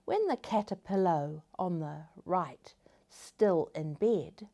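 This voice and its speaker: A woman storyteller hosting a story time, reading to children in a gentle, expressive way with deliberate phrasing. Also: fairly low pitch. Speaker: storyteller, host.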